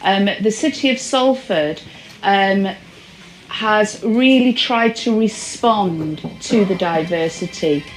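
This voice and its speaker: A woman giving considered answers in an interview, halting as she searches for words. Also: medium pitch, low-quality recording.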